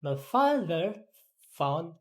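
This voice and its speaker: Female language learner, slightly low pitch, slow, careful repeat-after-me delivery. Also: sing-song, darkish tone. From Philippines. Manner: careful, halting repetition. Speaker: English pronunciation student. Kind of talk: repeating drill words and reading practice sentences.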